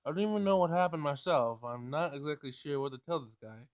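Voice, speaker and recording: A man hosting a gaming video, casually thinking out loud in a tentative way as he plays and reads. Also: on the high side, quick pace, muffled recording. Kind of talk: casual let's play commentary and read-aloud game text.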